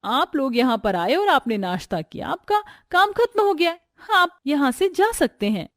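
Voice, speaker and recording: Woman as young bride-to-be, family story; affectionate, assured, high register. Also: very animated, normal speed, dry recording, studio quality. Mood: indignant.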